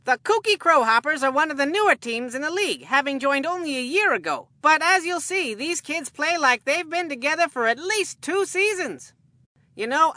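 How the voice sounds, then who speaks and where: Goofy rambly kid baseball announcer, cartoon game voice, real bright and forceful, folksy, dry studio sound. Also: female voice, sits high, no echo. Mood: chatty, amused. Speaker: sports commentator. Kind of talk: cartoonish scripted game commentary.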